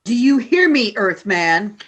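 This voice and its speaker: A woman in a radio play voicing a menacing female alien warrior giving commands, as if over a loudspeaker. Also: medium pitch, very animated, takes it slowly, slightly rough, full character voice. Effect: distant loudspeaker.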